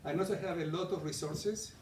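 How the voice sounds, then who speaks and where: A man's voice: fairly high pitch, a little fast, far from the mic, a little hiss or hum. A middle-aged man with an Argentinian accent, giving a conversational live presentation and asking the audience questions. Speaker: coaching presenter. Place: meeting room with a live audience. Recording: echoey room, voice from afar.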